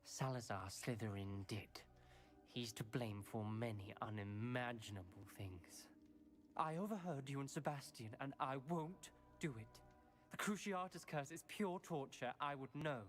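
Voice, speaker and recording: A guilt-haunted teenage boy acted by a man in a fantasy video game. He is bitter and principled, speaking seriously at a medium male pitch. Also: a little slow, soft background music, lightly acted. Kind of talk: scripted video game acting.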